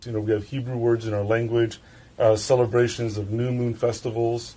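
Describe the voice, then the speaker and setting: A man speaking in an interview clip, relaxed and explanatory. His voice is warm and dark in tone, sitting at an ordinary pitch. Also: fairly quick, low-quality recording.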